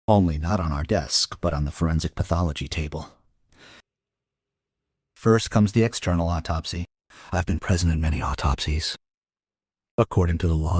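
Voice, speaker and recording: A man playing a world-weary police investigator in a crime radio play. His deep voice is husky and recorded very dry, and he tells of the case in terse, deadpan phrases. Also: dry recording. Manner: deadpan, terse, matter-of-fact. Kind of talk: crime audio drama narration.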